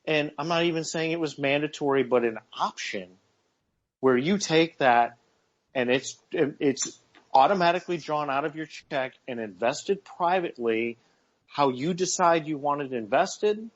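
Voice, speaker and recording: A man's voice: on the high side, normal speed, muffled recording. A man in his forties co-hosting a casual radio talk show, earnest and repeating words as he works through his points. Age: 40s. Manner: earnest, repeats words while gathering thoughts.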